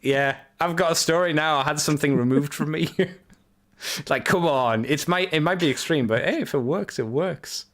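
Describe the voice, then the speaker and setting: A man's voice: a little high, normal speed, a bit bright. A man hosting a casual podcast chat, joking, teasing and swearing freely with his guest. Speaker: podcast host.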